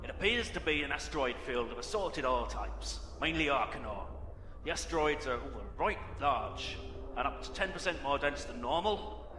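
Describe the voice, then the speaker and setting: A man playing a blunt, excitable spaceship crewman in a sci-fi audio drama. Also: mid-range voice, normal speed, natural voice. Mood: businesslike, wry. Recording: echoey hall-like ship bridge, noisy ambience.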